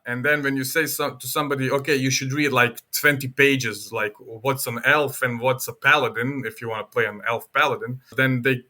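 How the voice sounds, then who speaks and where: A man explains his hobby in a casual, animated way. His voice has a bright, forward edge at a mid pitch. He acts out other people's reactions as he talks. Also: moderate pace, hardly any pauses.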